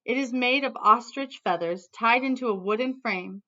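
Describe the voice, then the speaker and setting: A woman teacher reading a storybook aloud to students in an animated, emphatic way, with casual asides. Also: on the high side, moderate pace, highs cut off.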